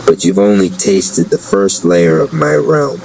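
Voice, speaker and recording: A man voicing a menacing, grandiose, taunting villain who rules a nightmare realm in a dark fantasy audio drama. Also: on the low side, animated, measured pace, vocal fry, a little hiss or hum, rough recording.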